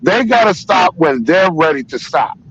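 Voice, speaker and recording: An older man speaks as a guest in a heated panel debate, with a voice a bit high for a man. He argues back insistently and repeats himself to get a word in. The recording sounds dull and lo-fi. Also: very animated, moderate pace, a bit bright, husky.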